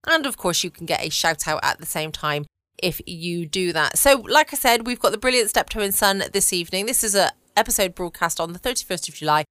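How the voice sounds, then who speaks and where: A woman hosting a relaxed late-night bedtime podcast, chatting from home. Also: fairly low pitch, a little fast, no room sound, studio quality. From United Kingdom. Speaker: podcast host. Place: at home, dry quiet room.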